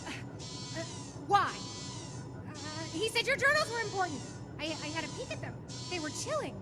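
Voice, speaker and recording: A woman's voice: very high-pitched, very animated, moderate pace, loud music behind the voice. An actress playing a plucky but frightened 1920s young woman in a live radio play, urgent and exclamatory. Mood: nervous, coaxing.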